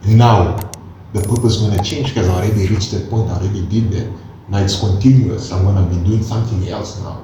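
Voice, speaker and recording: A man's voice: fairly low pitch, brisk, slight background noise. A man of twenty-one talking earnestly in casual chat as a co-host, slipping in slang as he explains his point. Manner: earnest, animated, peppers talk with slang. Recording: echoey room from a distance, dull sound.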